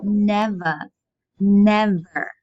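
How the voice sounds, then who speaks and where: A woman's voice: mid-range voice, fairly even intonation, drawn-out words, darkish tone, no echo, voice pushed up. A woman voicing a stern, bitter, domineering old grandmother from a stage play. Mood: cold, commanding. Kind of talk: reading a stage play aloud. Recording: dull, muffled lo-fi audio.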